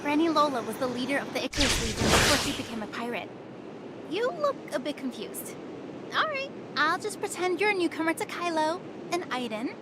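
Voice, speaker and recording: A woman playing a chatty, cheeky young guide in a sci-fi anime game, with a teasing, expressive delivery. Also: high voice, very noisy. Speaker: game character voice. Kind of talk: anime game voice acting, expository dialogue.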